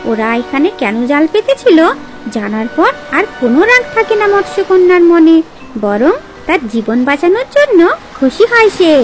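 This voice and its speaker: A woman narrating a children's fairy tale in a scripted, expressive and dramatic storytelling style, with music underneath. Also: extremely high pitch, moderate pace, poor audio.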